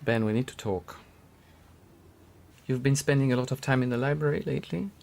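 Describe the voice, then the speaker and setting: A man with a British accent playing a stern, patronizing, quietly threatening teacher in a radio drama. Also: mid-range voice, vocal fry, lightly acted. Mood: probing, suspicious. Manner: measured, probing, patronizing.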